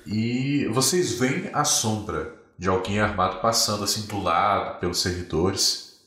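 A Brazilian man runs a tabletop game, his low voice bright and forward as he describes each scene with searching pauses. The room rings with echo, and soft music plays underneath. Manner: descriptive, hesitant pauses while narrating. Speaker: game master.

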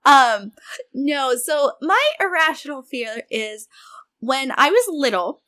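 A woman with a fairly high, bright voice talks as a podcast co-host. Her pitch moves in lively swings as she banters casually, with a self-deprecating sense of humour. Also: slow.

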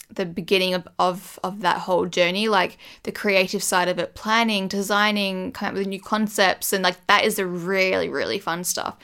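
A woman in her thirties with a slightly croaky morning voice, chatting casually to camera as a vlogger, rambling with restarts and little laughs. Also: medium pitch, fairly bright tone. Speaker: beauty vlogger and brand founder.

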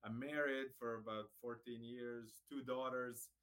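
Bright-voiced Serbian man telling his story. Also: mid-range voice, fairly even intonation, a little slow. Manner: friendly, matter-of-fact storytelling with frequent restarts. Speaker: guest, racquet sports director. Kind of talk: casual interview chat.

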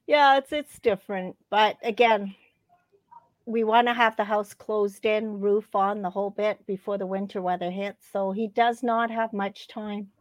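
A woman's voice: mid-range voice, steady tone, measured pace, no echo. A middle-aged woman talking in a casual, easygoing, conversational way. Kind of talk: casual chat.

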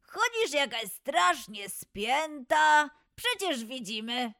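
A woman's voice: sits high, big pitch swings, takes it slowly, vocal fry, pushed and bright, voice pushed up, heavily acted. A woman voicing a kind, caring young animal friend in a children's story, sweet and eager. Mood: concerned.

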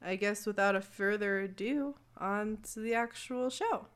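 Casual mid-pitched woman co-hosting. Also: a little slow, clean tone. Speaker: podcast co-host. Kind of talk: casual chat.

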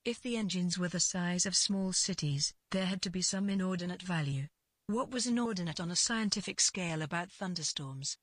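A woman narrates scripted fiction in a lowish, husky voice. Her phrasing is deliberate, with pauses between short clauses. The sound is close and dry, with no room to it. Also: dry recording.

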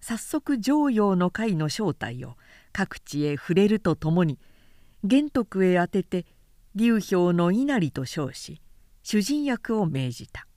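A woman's voice: medium pitch, sing-song, takes it slowly, mellow, no echo, studio quality. A woman narrating a literary audiobook in a composed, dramatic storytelling manner.

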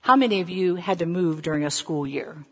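An American woman in her fifties from the South, a preacher telling personal stories in a deliberate manner and putting questions to her listeners. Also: fairly low pitch, fairly bright tone, breathy edge. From Southern United States. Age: 50s. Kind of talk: sermon with personal storytelling. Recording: echoey hall with long reverb.

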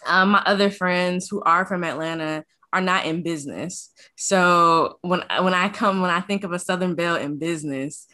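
A woman with a Detroit accent hosting a casual podcast chat. She talks in a lively, frank way, swears freely, and sits a bit low in pitch for a woman. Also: moderate pace, hardly any pauses. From Detroit, USA. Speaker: podcast host.

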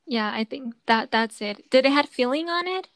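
Woman host chatting away, kinda high voice, dry close sound, lots of likes and ums. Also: lively intonation, moderate pace, fairly bright tone, no echo. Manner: chatty, explaining with many fillers. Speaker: podcast host. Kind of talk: casual chat.

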